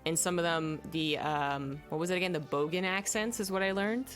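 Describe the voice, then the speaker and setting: Low-voiced woman rambling through a film review. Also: brisk, soft background music. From native English speaker. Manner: rambling, searches aloud for names, many fillers. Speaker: vlogger reviewing films. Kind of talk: casual film review chat.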